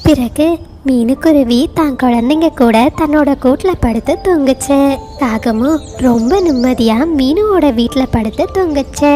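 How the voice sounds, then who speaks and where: A woman with a high but mellow, warm voice narrating a children's cartoon story steadily. Gentle music plays underneath. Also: moderate pace.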